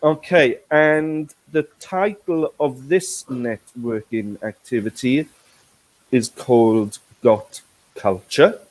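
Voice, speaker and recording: Slow-speaking Welsh-born male teacher. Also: mid-range voice, darkish tone, rough recording. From Wales. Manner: slow and careful, many fillers, repeats words. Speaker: corporate English teacher, webinar host. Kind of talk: teaching webinar, explaining words.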